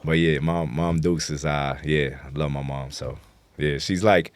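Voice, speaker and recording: New york guy, real deep voice, rapper chatting in an interview, lots of slang, dry sound. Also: steady tone, measured pace, dry recording. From New York City. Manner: blunt street slang, frequent affirmations. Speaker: interview guest, rap artist. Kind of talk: casual conversational interview, slangy.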